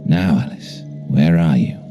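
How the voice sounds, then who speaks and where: An actor playing a controlling, calmly insistent doctor hypnotizing a patient in a video game, with commanding, deliberate phrasing. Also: male voice, extremely low pitch, vocal fry, highs cut off, over music, exaggerated voice. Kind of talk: theatrical video game voice acting.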